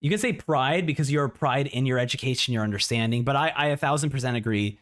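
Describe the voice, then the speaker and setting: A man in a casual livestream reaction, talking excitably and often repeating words as he thinks out loud. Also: mid-range voice, quick pace. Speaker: streamer reacting to a video.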